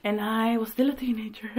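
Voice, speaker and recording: A woman's voice: fairly high pitch, fairly even intonation, normal speed, a little mellow, slight background noise. A woman in her twenties hosting a casual eating chat, chatty and laughing often. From Sweden. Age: 20s. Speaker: mukbang host.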